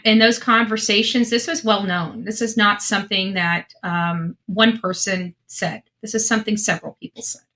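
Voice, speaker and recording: A woman's voice: mid-range voice, bright, forceful tone. A woman giving casual true crime commentary, earnest and emphatic, often restarting her sentences.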